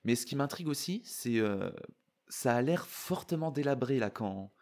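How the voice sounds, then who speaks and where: A man giving casual, excitable, slangy reaction commentary. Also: medium pitch, normal speed. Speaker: YouTuber reacting to and analysing a trailer.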